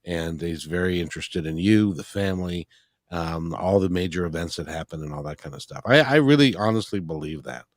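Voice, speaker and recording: A man's voice: low voice, lively intonation, brisk, no echo, clean studio sound. A man hosting an interview, speaking in an earnest, firm and reassuring way. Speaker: interview host. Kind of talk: casual interview chat.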